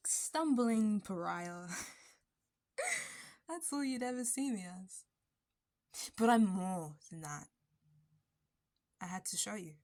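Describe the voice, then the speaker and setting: A woman playing an obsessive, possessive barista kidnapper in an ASMR roleplay, intimate and breathy, slipping between whispers and bitter intensity. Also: mid-range voice, a little mellow. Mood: frantic, possessive. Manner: intimate, breathy dropping into whispers, nervous laughs and sudden bitter flares.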